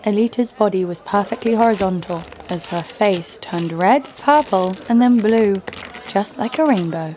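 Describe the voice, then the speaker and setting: An actress playing a ruthless, sardonic assassin in a narrated audio drama, deadpan and menacing. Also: female voice, medium pitch, normal speed, a little hiss or hum, natural voice. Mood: deadpan storytelling. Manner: menacing, deadpan, foul-mouthed. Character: ruthless, sardonic woman assassin, destroyer of cities. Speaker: lead actor and narrator. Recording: music behind the voice.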